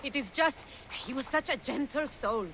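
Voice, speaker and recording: A woman playing a distressed, gentle Egyptian countrywoman in a video game, pleading and emotional. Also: high voice, normal speed, a little hiss or hum. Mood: sorrowful. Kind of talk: video game cutscene acting.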